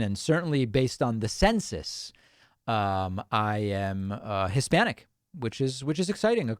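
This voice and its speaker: A man whose first language is Spanish, born in Argentina, hosts a live political stream in an offhand, chatty way, reading out viewer messages and tossing in quick replies. His voice sits at an ordinary middle pitch for a man. The sound is dry and close, with no room echo. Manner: casual, offhand, frequent ums and uhs. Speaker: political commentary host. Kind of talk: livestream chat, reading viewer comments.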